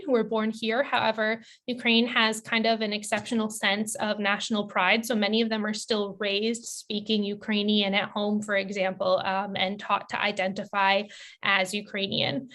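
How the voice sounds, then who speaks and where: A woman answering audience questions as a presenter, in a measured, explanatory, careful way with hedges. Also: mid-range voice, a bit bright. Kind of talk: informative Q&A talk, semi-formal.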